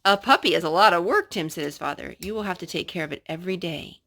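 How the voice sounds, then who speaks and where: A woman reading a children's storybook aloud as a storyteller, in an animated way meant for a child, pausing to explain and ask questions. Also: fairly low pitch, a little hiss or hum.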